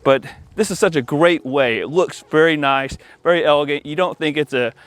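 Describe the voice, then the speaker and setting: A man's voice: on the high side, normal speed, a bit bright, no echo. A man giving an informal, conversational product showcase outdoors in a backyard, addressing viewers casually as an installer. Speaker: audio-video installer presenting for company channel. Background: music.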